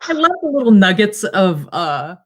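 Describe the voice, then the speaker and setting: Brief: female guest tarot reader, very animated intonation, informal and amused, quick to tease. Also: medium pitch. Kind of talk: casual chat.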